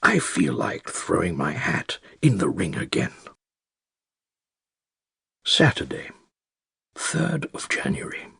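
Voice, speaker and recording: An actor playing an elderly male care-home resident with a British accent, narrating his secret diary in a dry, wry, measured and articulate way with a gravelly, husky voice. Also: deep voice, fairly bright tone, no room sound, full character voice. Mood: sardonic. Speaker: audiobook narrator. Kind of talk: scripted first-person diary narration.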